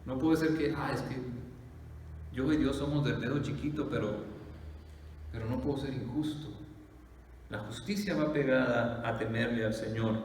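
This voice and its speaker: A pastor, preaching in a conversational style full of "eh" fillers and rhetorical questions. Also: male voice, medium pitch, normal speed, long pauses, a little mellow, a little hiss or hum. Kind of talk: sermon. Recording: echoey hall from far off.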